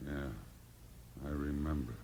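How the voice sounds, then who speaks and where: An actor playing a menacing ex-convict villain with an American Western accent in a 1950s radio drama. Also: male voice, very deep voice, fairly even intonation, measured pace, creaky, mellow, heavily acted. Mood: surly. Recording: old 1950s radio recording.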